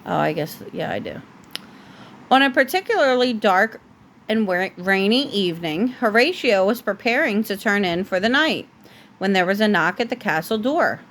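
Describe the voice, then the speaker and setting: A woman with a medium-pitched voice reads a storybook aloud in a homemade, unpolished way. She stumbles on the odd word and drops into casual parental asides. There is some noise behind her. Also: expressive, moderate pace, a bit bright. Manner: unpolished home reading, stumbling over words and breaking off. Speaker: parent reading a bedtime story to a child. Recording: noisy home recording.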